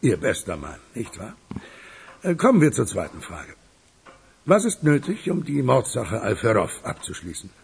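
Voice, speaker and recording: Husky, gravelly old town boss. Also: male voice, medium pitch, huge pitch swings, normal speed, exaggerated voice. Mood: controlled authority. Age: older. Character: powerful, commanding town boss, a grandfather. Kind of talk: German radio crime drama acting.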